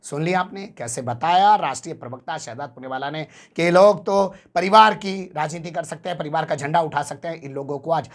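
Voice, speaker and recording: A man's voice: a little high, fairly quick, hardly any pauses. A man presenting news commentary, sarcastic and full of rhetorical questions to the viewer.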